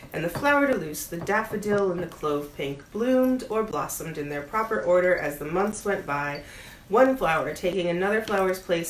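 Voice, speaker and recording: A woman reading a storybook aloud as a bedtime story, expressively and with playful asides. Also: medium pitch. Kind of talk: storybook read-aloud to a pet dog. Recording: roomy echo, audible background noise.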